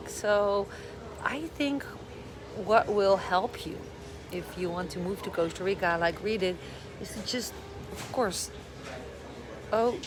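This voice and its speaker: A middle-aged woman from the Netherlands with a Dutch accent, chatting on a casual live stream, a little short on sleep and a bit scattered. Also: medium pitch. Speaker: vlogger giving advice. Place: airport departure area. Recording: noisy airport live stream. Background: airport ambience.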